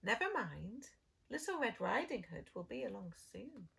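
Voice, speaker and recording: A woman's voice: mid-range voice, big pitch swings, moderate pace, vocal fry, a bit bright, slight background noise, exaggerated voice. A woman voicing a sly, hungry big bad wolf in a children's storybook, growly and falsely sweet. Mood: hungry, grumbling. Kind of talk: children's storybook read-aloud.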